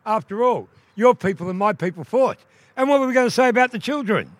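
A man voicing a shy but fierce male water rat from a folk tale, asking quick, worried questions. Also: sits high, animated, dry recording, pitched above the performer's own voice.

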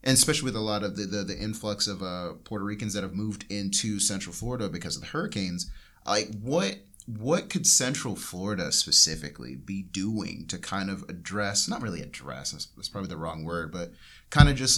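A man's voice: sits low, lively intonation, brisk, fairly bright tone. A young man hosting a casual podcast discussion, rambling and correcting himself as he talks. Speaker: podcast host. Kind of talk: casual panel chat.

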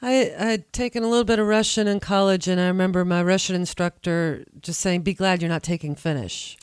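A woman's voice: on the low side, steady tone, fairly quick, clean tone, no echo. A woman hosting a radio interview, asking friendly, curious questions. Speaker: radio host.

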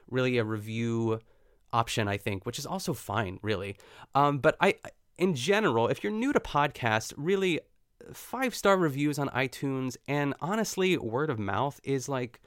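A man hosting his own podcast, talking chattily and effusively with a smooth, soothing voice. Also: medium pitch, fairly bright tone, dry recording, studio quality. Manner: chatty and effusive, lots of fillers. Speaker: podcast host. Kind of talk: casual solo podcast chat.

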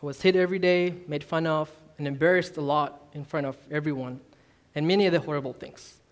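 Young man from Malaysia, mid-high voice, earnest sermon telling his story. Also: fairly even intonation, brisk. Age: 20s. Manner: earnest, self-deprecating, admits nervousness. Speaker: youth minister preaching. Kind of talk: personal testimony sermon.

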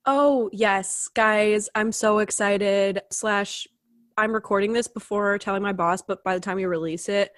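A young woman co-hosting a podcast in casual chat, her voice a little raspy as she recovers from illness. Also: medium pitch, steady tone, a little slow, a bit bright, no echo, clean studio sound. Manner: chatty, upbeat, lots of "like". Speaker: podcast co-host.

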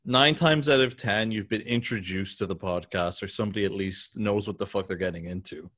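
Low-voiced man in blunt casual chat. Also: brisk, a bit bright. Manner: swears a lot, blunt and joking. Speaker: podcast host. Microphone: podcast microphone.

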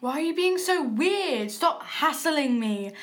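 A woman's voice: high-pitched, expressive, slow. A woman playing a scared, defiant schoolgirl daughter who snaps back, in an audio drama. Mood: scared, snappy. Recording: clear background noise.

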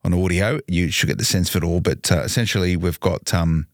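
An Australian man with a very low voice chats casually on a podcast. He rambles, teases and jokes, circling back with fillers. The recording is dry and close. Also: fairly quick, dry recording. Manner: jokey, rambling, teasing. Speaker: podcast co-host. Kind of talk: casual banter.

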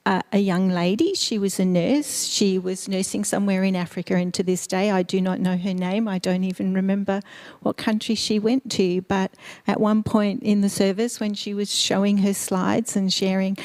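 A middle-aged woman, born in Eastern Europe and raised in Australia, recounts her calling as a missionary nurse. She speaks earnestly and thoughtfully, pausing with soft ums and small laughs, at an ordinary middle pitch. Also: fairly even intonation. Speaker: missionary nurse, interview guest. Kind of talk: church interview, personal testimony. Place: church sanctuary.

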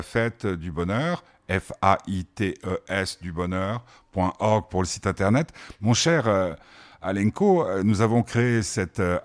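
Taquin animateur de plus de soixante ans. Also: male voice, on the low side. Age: 60s. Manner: teasing, joking, hesitant with many fillers. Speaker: host. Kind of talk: casual chat interview.

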